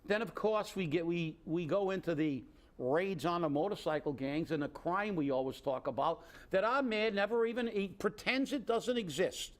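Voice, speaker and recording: Man, high voice, co-host, opinionated political chat, sarcastic, indignant, rambling. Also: normal speed. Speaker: co-host of a local political commentary show.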